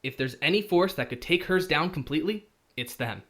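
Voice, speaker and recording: A young student hero played by a man with a lighter, higher male voice that moves around a lot. He sounds earnest and sharp, questioning what he is told, in visual novel style. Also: expressive, brisk. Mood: resolute.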